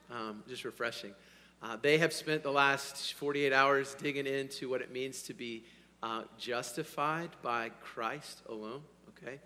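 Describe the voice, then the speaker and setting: A man preaching a sermon to his congregation, hoarse, energetic, swinging between loud bursts and quiet asides and checking in with "all right?". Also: medium pitch. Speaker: pastor. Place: church sanctuary. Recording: long hall reverb.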